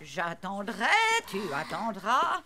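A woman's voice: mid-range voice, sing-song, slow pace, sharp tone, a little hiss or hum, exaggerated voice. A woman voicing a pedantic older schoolteacher in a cartoon, reciting didactically. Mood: didactic. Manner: didactic, reciting verb conjugations in singsong. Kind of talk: animated cartoon dialogue.

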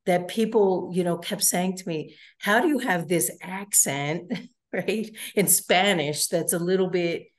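A woman interviewer asking long, measured questions over a video call from home, often saying "you know". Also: lowish voice. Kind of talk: conversational interview.

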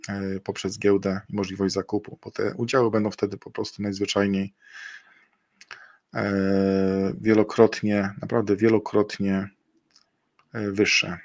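Low, flat-voiced man presenting a webinar. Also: measured pace, dry recording. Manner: hesitant, many fillers and self-corrections. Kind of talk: screen-share webinar presentation. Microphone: webcam and computer mic on a video call.

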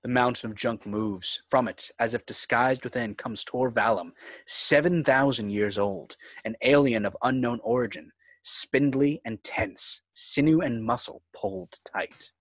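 A man's voice: mid-range voice, measured pace, a bit bright, no echo. A man reading scripted screenplay narration and stage directions for a table read.

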